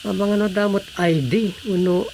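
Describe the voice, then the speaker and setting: A male actor plays a practical village councilman in a radio drama, with a gravelly, creaky voice. He talks in a concerned, helpful, conversational way over clear background noise. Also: sits high, steady tone, moderate pace, darkish tone. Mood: explaining, concerned.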